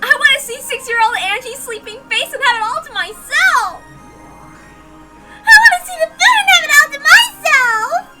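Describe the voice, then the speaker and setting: A woman voicing excitable, greedy young demon sisters in a visual novel, exclaiming shrilly. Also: very high voice, normal speed, soft background music, pitched above the performer's own voice, exaggerated voice, low-quality recording. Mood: greedy, eager.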